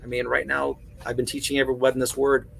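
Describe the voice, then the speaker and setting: This is a man with a voice at an ordinary middle pitch, talking casually among friends on a podcast. He tells his stories in an animated, rambling way and fills his pauses with "you know" and "um." Faint room ambience sits behind him. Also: vocal fry, a little hiss or hum, rough recording. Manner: animated storytelling, conversational fillers. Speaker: podcast panelist. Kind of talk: casual chat.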